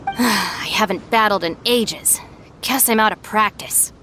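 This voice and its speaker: A woman's voice: mid-range voice, slow pace, sharp tone, slight background noise. A woman playing a sensible, stern young deputy in a video game, dryly exasperated and matter-of-fact. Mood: resigned. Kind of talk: animated video game voice acting.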